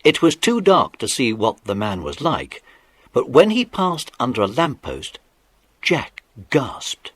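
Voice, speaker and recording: A man's voice: medium pitch, huge pitch swings, takes it slowly, a bit bright, slightly rough, full character voice. A man narrating a children's detective adventure as the storyteller of a scripted audiobook drama. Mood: suspenseful storytelling.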